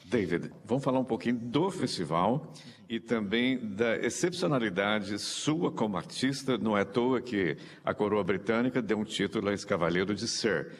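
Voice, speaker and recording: A man's voice: fairly low pitch, animated, moderate pace. A man with a Brazilian accent works as a live interviewer, speaking in an effusive, ceremonious way. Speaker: interviewer, presenter. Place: large reverberant hall. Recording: echoey hall with background noise.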